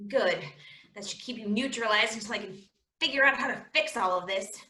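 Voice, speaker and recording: A woman's voice: fairly high pitch, a little fast, pushed and bright. A woman playing a tough, exasperated female law officer in a staged comedy reading, recorded in a roomy space. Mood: stern, exasperated. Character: tough, exasperated woman lawkeeper in comic play.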